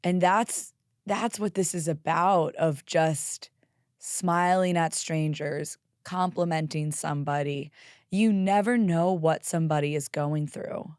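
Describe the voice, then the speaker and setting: Young woman podcast host, hoarse and raspy, candid and profane, lower voice, dry close sound. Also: takes it slowly, no room sound. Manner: candid, profane, animated with laughs. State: hoarse from karaoke singing. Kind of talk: solo podcast monologue.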